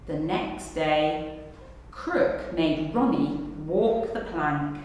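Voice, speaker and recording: A woman teacher reading a children's story aloud with expressive narration. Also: low-pitched, drawn-out words, soft background music. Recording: echoey hall, distant mic, background noise.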